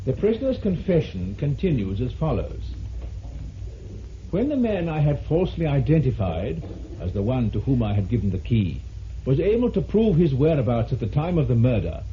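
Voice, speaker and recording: An actor playing a formal male barrister in a vintage radio drama, speaking in measured oratory. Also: fairly high pitch, very animated. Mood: solemn, reading aloud. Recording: muffled, noisy vintage radio recording.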